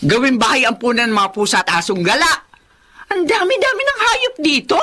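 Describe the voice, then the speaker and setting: A woman's voice: sits high, animated, a little fast, bright, forceful tone, heavily acted. An actress playing a middle-aged Filipino mother in a drama, scolding and exasperated but caring. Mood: exasperated, nagging. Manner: scolding, complaining. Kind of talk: Filipino radio-style drama acting.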